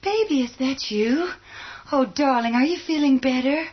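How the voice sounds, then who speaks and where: An actress playing an anguished mother in a zombie horror drama, emotional, with a husky voice. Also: sits high, normal speed, lightly acted. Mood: tender, relieved. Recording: muffled lo-fi radio-play sound.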